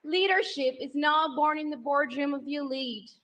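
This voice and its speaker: A high-pitched woman delivers a heartfelt rally speech on a handheld mic. She rises from soft, emotional passages to shouted lines over a big outdoor crowd. The broadcast sound is a little dull. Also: normal speed. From Venezuela. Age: 30s. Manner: emotional, rousing, builds to shouted applause lines. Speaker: political spouse introducing candidate.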